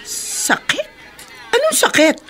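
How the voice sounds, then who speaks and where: A woman's voice: extremely high pitch, sing-song, slow, fairly bright tone, exaggerated voice. A woman acting an anxious, wounded elderly mother-in-law in a radio drama. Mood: shocked.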